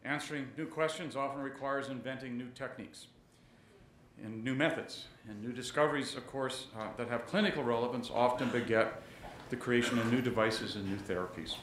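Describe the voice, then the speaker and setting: A man's voice: mid-range voice, fairly quick, slight background noise. Older man, session chair, genial, big voice, podium mic, roomy hall. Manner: genial, loud, says he has a big voice. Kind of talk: introducing speakers at a conference. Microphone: podium microphone.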